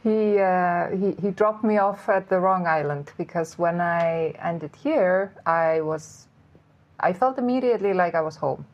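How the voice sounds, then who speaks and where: A woman from Iceland with an Icelandic accent, a podcast guest speaking English in a casual interview, hesitant and pausing often. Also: lowish voice, darkish tone, lo-fi sound. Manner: hesitant, with pauses and fillers.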